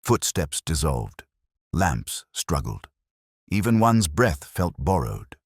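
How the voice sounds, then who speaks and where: A man reading a scripted first-person detective story in a measured, brooding, literary manner. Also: a bass voice, big pitch swings, husky, dry recording, clean studio sound. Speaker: narrator.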